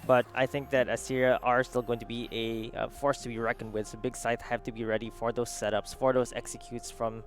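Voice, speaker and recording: A man's voice: mid-range voice, flat delivery, a little fast, hardly any pauses, soft background music. A male esports analyst giving panel commentary. He speaks hesitantly, with many fillers and restarts.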